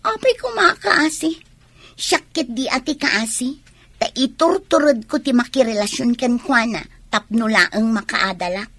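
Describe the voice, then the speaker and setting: A lovelorn young student in a Filipino radio drama. Her high voice is plaintive and torn, recorded close and dry. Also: lively intonation, unhurried, a bit bright, dry recording, heavily acted. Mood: sad, defensive.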